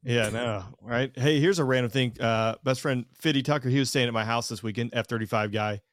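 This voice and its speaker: Male host, mid-pitched voice, dry close sound, loose rambling delivery. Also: fairly quick, dry recording. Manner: rambling, lots of fillers and self-corrections. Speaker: podcast host. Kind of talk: casual podcast chat.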